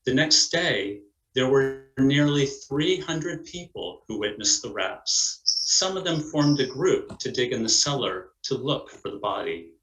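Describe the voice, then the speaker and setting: A man's voice: medium pitch, pushed and bright. A man reading scripted narration as the narrator of a stage play. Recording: roomy echo.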